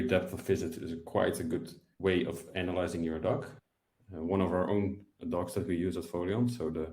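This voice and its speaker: A man's voice: deep voice, warm tone, echoey room. A man in his thirties giving an explanatory online presentation as an analyst, recorded over a video call. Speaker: product data analyst, presenter. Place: indoor room, on a video call.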